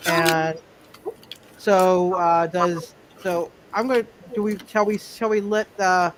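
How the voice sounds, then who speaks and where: High-voiced, stammering man running a game. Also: level tone, noisy background. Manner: halting, repeats and restarts phrases often. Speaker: game master. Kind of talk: live tabletop superhero roleplay, improvised narration.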